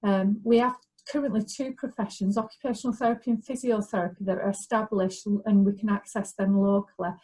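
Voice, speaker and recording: Woman going over career routes, steady middle voice, a few ums. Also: medium pitch, low-quality recording. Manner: measured, with restarts and ums. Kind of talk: informational talk.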